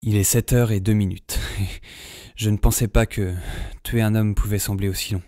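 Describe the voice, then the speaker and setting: An actor playing a shaken, sleepless man surviving a zombie apocalypse in an audio drama monologue, husky and halting, repeating himself obsessively. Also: lowish voice, fairly even intonation, warm tone, studio quality, close to an everyday voice. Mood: shaken, rattled. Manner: obsessive repetition, halting, self-persuading. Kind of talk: first-person audio drama monologue, diary log. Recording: roomy echo, music behind.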